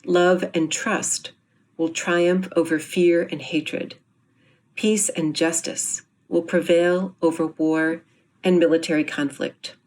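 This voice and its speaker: Woman with a lowish voice, formal presenter reading a prepared introduction in measured phrases. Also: fairly even intonation, a little mellow. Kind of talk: scripted explanatory reading.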